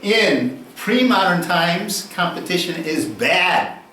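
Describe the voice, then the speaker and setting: A man giving a university lecture, deliberate and unhurried, posing questions and then answering them. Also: sits high, lively intonation, pushed and bright, a little hiss or hum. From United States. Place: lecture room. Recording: echoey room, distant mic, faint ambience.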